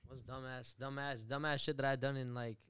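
A man's voice: mid-range voice, flat delivery, brisk, a bit bright. A young American man, a guest DJ, chatting easily on a podcast and slipping into Spanish now and then. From United States. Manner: easygoing, mixes Spanish into English. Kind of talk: casual podcast chat. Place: small podcast studio indoors. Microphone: boom mic on arm.

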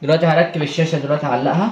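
Very fast-talking Egyptian man. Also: on the high side, steady tone, echoey room, muffled recording. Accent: Egyptian Arabic. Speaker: installer presenting an installation video. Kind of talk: casual hands-on tutorial commentary. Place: indoors in a marble-walled room. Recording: noisy handheld on-site recording.

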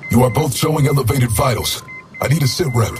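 Stern robot commander, noisy radio. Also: male voice, mid-range voice, lively intonation, a little fast. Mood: stern, commanding. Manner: clipped, commanding. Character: male combat robot commander, stern, controlled, military. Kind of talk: game radio dialogue. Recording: noisy military radio channel.

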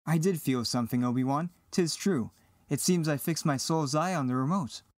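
Guy playing an eager young hero in shakespeare verse, fairly high voice, earnest, dry sound. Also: moderate pace, darkish tone, no echo, close to an everyday voice. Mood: wondering. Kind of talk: Shakespearean dramatic reading.

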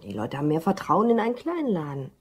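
An actress playing a curious, businesslike office colleague in a German crime radio play. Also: female voice, on the low side, sing-song, fast talker, breathy edge. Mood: matter-of-fact.

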